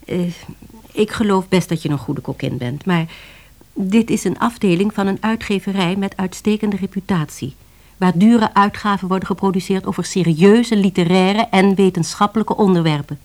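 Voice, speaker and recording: An actress playing a formal, exasperated woman boss at a publishing house in a radio play, measured and reproving. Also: mid-range voice, darkish tone, natural voice.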